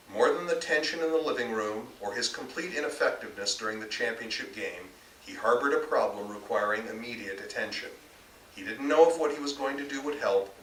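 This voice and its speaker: A man's voice: on the low side, sharp tone. A man reading his own literary fiction aloud at a live author event. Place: bookstore reading. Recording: roomy, distant mic, faint background noise.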